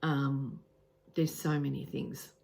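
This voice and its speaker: A woman's voice: extremely low pitch, little pitch movement, slow pace, creaky, dark tone. A woman with an Australian accent giving casual travel advice to camera, earnest, with frequent ums and restarts. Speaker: travel vlogger.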